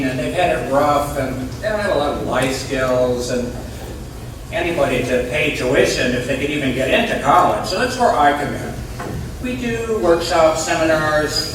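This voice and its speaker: A man's voice: fairly high pitch, distant mic, noisy background, close to an everyday voice. An actor in a live stage play, playing a blunt, coarse-spoken, self-deprecating middle-aged father, recorded in an echoey room from afar. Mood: matter-of-fact, wry.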